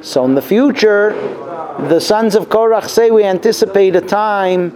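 A man giving a religious class as its teacher, explaining deliberately and telling stories as he goes. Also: sits high, darkish tone. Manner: deliberate, explanatory, repeats and translates Hebrew phrases. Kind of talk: Torah class lecture with storytelling.

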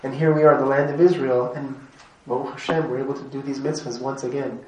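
A man's voice: mid-range voice, fairly even intonation, fast, a little mellow. A man from Montreal teaching an informal class, chatty and quizzing his students. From Montreal, Canada. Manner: chatty, asks the group questions, laughs often. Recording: echoey room, mic at a distance, faint noise.

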